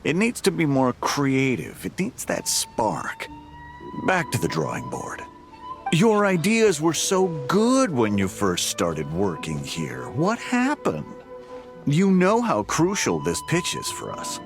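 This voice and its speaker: Demanding boss, wildly swinging intonation. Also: male voice, on the high side, huge pitch swings, slow, music in the background, full character voice. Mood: disappointed, pressing. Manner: admonishing, emphatic. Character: demanding, critical male office boss. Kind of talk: animated video game dialogue.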